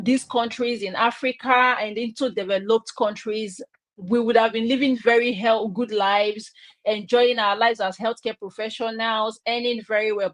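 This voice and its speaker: A woman's voice: mid-range voice, dry recording. A woman from Nigeria hosting a live online chat, upbeat and inviting.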